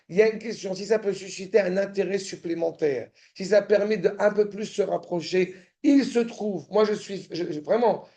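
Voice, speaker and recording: Male class teacher, high gravelly voice, stop-start delivery that checks in with the room. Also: mellow. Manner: halting, repeats words, checks in with listeners; turns insistent and indignant. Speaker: Torah teacher. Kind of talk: lecture in a study class.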